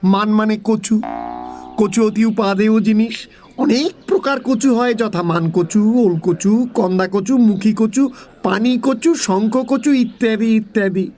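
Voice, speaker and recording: A pompous crocodile lawyer from a children's audio story, played by a woman. The delivery is slow, deliberate and mock-solemn, at a middling pitch over faint music. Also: warm tone, close to an everyday voice.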